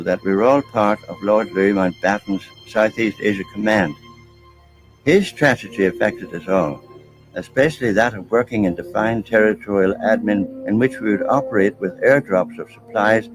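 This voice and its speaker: A low-pitched man with a Northern Irish accent tells of his years of service in a measured, reminiscing voice. Music plays faintly behind him in this archival clip. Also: lively intonation, low-quality recording. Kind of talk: archival veteran narration.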